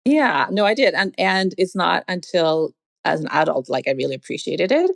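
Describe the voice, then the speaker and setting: A woman's voice: fairly low pitch, animated, normal speed, vocal fry, no echo, clean studio sound. A young adult woman from Sweden, a podcast guest, telling reflective stories about her family in a casual conversation. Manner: reflective, warm storytelling with many "like" fillers.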